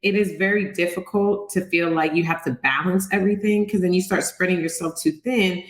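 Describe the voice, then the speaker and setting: A low-pitched woman co-hosts a podcast in a loose, reflective manner, dropping the odd swear word in casual chat. Her voice carries a roomy echo. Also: a little fast. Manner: reflective, casual, laughs easily, mild swearing. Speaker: podcast co-host.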